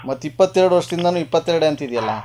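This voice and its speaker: A man's voice: on the high side, very fast, very noisy. A man hosting a radio prank call, teasing and needling with mock-stern questions in phone banter. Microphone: phone call recorded in a radio show.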